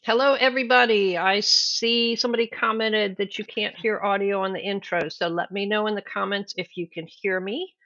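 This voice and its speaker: A woman hosting an online club meeting, chatty and informal, leaning on fillers between names. Her voice is bright and forceful, and it comes through close and dry. The call audio is dull and lo-fi. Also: medium pitch, steady tone, normal speed, hardly any pauses, no echo. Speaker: meeting host, club officer. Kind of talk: casual meeting introduction. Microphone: video call.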